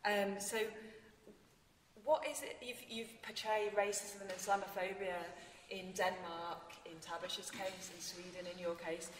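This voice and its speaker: A woman's voice: medium pitch, little pitch movement, measured pace. Hesitant woman chairing, echoey hall. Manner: hesitant, many ums. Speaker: panel chair. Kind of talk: asking panel questions. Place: large hall, seated on stage. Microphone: far room mic. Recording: echoey hall from far off, faint noise.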